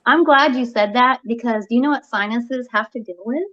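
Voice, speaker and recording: A woman speaking as a health coach guest, animated and often restarting her sentences. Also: fairly high pitch, fairly quick, lo-fi sound. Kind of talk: casual health talk show chat.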